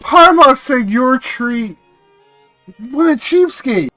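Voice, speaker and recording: A man livestreaming a game with casual, playful, joking commentary and animated reactions, close on a streaming mic. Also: very high-pitched, takes it slowly, no echo, soft background music.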